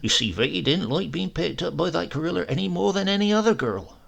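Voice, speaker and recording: A man's voice: fairly high pitch, lively intonation, quick pace, creaky, fairly bright tone, voice pushed up, heavily acted. A man voicing a boastful, sly old uncle, savouring each phrase as he tells tall tales in a storybook reading.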